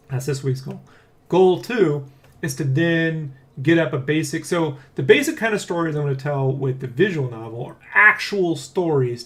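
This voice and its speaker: A man hosting a casual dev blog, talking in a rambling, excitable way and often checking for agreement. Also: medium pitch. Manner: rambling, keeps seeking agreement with "right?" and "you know?". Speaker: dev blog host and comic artist. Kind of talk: casual dev vlog chat, addressing viewers and co-worker.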